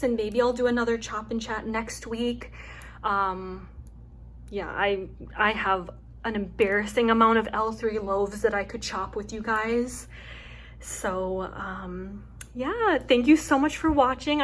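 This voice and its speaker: Chatty young woman, soft calming voice. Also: a little high. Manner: chatty, rambling, many "like" and "um" fillers. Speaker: craft channel host. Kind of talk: casual chat while chopping wax. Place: home with her dog nearby.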